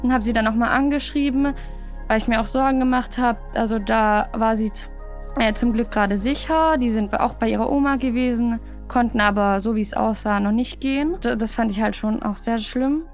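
Casual young woman, highish voice. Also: fairly quick, faint music behind. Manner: casual, with fillers. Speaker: student. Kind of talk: interview.

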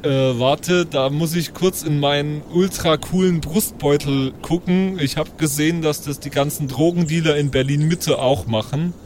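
A man's voice: on the high side, moderate pace, hardly any pauses, darkish tone, a little hiss or hum, lightly acted. A man playing a cocky, dim sixteen-year-old petty thief in a tabletop game, hesitant and full of swaggering slang. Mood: boastful.

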